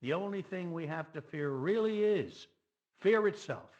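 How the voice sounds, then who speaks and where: An earnest, reflective man acted by a man speaks his conscience in an audio play. The voice is fairly high with wide, expressive movement. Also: a little slow, muffled recording. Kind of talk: scripted audio play reading.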